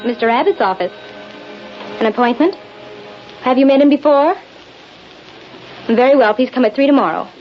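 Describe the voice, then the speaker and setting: A woman's voice: sits high, expressive, normal speed, long pauses, soft background music. A woman playing a courteous, efficient young office secretary in a vintage radio drama. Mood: polite, businesslike. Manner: courteous, businesslike. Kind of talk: 1930s radio drama acting. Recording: muffled, hissy vintage radio recording.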